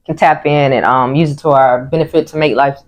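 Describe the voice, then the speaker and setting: A woman with a slightly deep voice, a singer as a podcast guest, talking calmly and thoughtfully with pauses. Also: muffled recording. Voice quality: a little deep. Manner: calm, thoughtful, many pauses and fillers. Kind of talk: casual interview chat.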